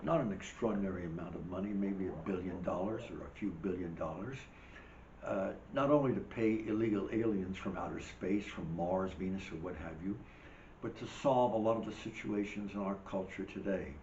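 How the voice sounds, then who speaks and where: An older man delivers a rambling host monologue with emphatic, wry asides. His voice sits low and is wearing thin. The recording is dull and roomy, with a faint hiss underneath. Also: brisk, darkish tone. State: losing his voice. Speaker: show host. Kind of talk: monologue commentary. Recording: dull echoey room recording.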